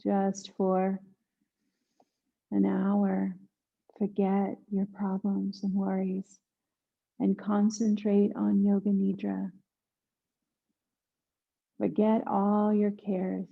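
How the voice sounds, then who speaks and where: A woman guiding a yoga nidra meditation in a hushed, soothing manner, leaving long pauses between instructions. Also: mid-range voice, monotone, gravelly, narrow, tinny audio. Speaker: meditation guide.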